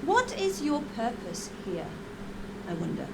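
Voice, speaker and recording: A sly lady of a pleasure house for a fantasy game. Her voice sits fairly high and swings freely in pitch. She phrases things in an old-fashioned, haughty, teasing way, over heavy background noise. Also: a little slow, full character voice. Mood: calm, conspiratorial. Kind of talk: video game cutscene acting. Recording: heavy steady noise behind.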